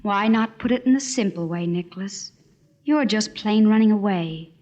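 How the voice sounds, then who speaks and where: An actress playing an earnest, devoted and anxious young wife in a 1940s-style radio drama, speaking tenderly and often softly. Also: female voice, mid-range voice, moderate pace, close to an everyday voice. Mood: earnest, pleading. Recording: dull old radio recording, music behind.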